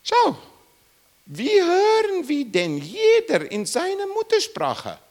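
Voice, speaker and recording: Emphatic preacher man, swooping pitch. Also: wildly swooping pitch, slow. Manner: emphatic, rising and falling sharply, repeats questions to listeners. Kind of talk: Bible teaching sermon.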